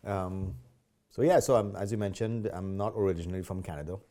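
Trinidadian male guest, fifties, very low and warm, polite conversational delivery. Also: fairly quick. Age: 50s. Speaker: podcast guest, martial artist. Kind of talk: casual interview chat.

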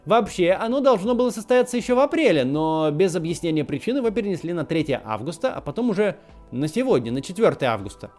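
An animated man in his thirties delivers scripted political commentary with a lively, swinging pitch. His tone turns ironic and sardonic in the asides, and faint music plays underneath. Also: quick pace. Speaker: political video host.

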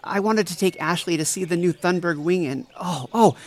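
Man playing an earnest, higher-voiced devoted best friend. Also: moderate pace. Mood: excited. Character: devoted, excitable, caring young male friend. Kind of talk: audio drama acting.

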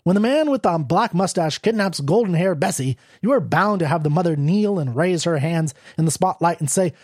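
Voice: mid-range voice, lively intonation, fairly quick, a little mellow, dry recording, studio quality, voice pushed up, close to an everyday voice. A reader voicing a proud, down-and-out male writer who talks bluntly and sardonically. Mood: derisive. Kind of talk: audiobook character reading.

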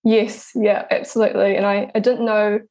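A young woman in her twenties with a New Zealand accent, an artist answering interview questions in a relaxed, hesitant way with many pauses and restarts. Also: medium pitch. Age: 20s. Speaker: guest, artist.

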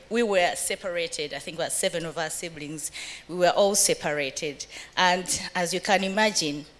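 A woman from Uganda, middle-aged, addresses a church gathering about raising a family. Her voice sits low, and she speaks earnestly, laughs often and quotes scripture.

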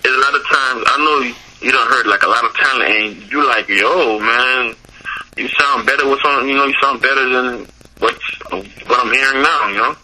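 A man's voice: mid-range voice, normal speed, sharp tone, low-quality recording. A man with a Haitian accent, a musician guest talking casually in an interview, loose and full of repeated words and fillers.

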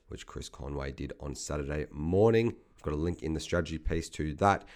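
Casting note: male market commentator, low voice, slight croak, brisk figure-heavy read. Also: a little mellow. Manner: brisk, businesslike delivery of figures. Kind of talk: scripted market update.

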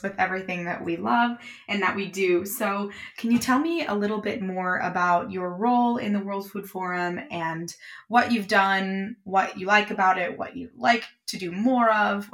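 A woman's voice: mid-range voice, normal speed, creaky, fairly bright tone. A woman hosting a podcast interview in an effusive, encouraging manner. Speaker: podcast host.

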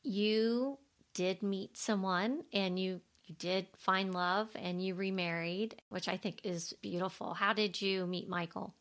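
Woman interviewer with a creaky voice. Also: mid-range voice, steady tone, measured pace, a bit bright. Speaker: interview host. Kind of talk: casual conversational interview.

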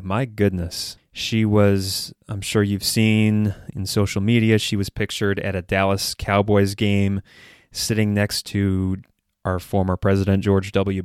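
Low, smooth-voiced male podcast host. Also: no echo, studio quality. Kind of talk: solo podcast talk, partly scripted.

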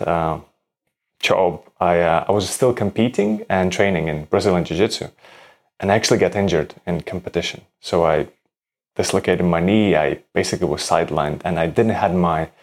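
A man from Latvia in his thirties, a breathwork teacher answering interview questions in a calm, reflective way with slightly halting phrasing. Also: deep voice.

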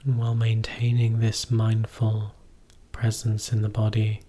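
A man guides a meditation in a low, slightly rough and husky voice. He speaks very slowly, in short, measured phrases with space left between them. His delivery is gentle and instructional. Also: monotone. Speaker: meditation teacher.

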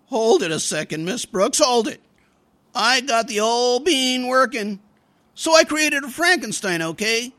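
Excitable, bright-voiced teenage schoolboy schemer. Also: mid-range voice, unhurried. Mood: scheming. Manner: slangy, theatrical, exclaiming. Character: scheming teenage schoolboy prankster, dramatic and wheedling. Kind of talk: old-time radio comedy acting.

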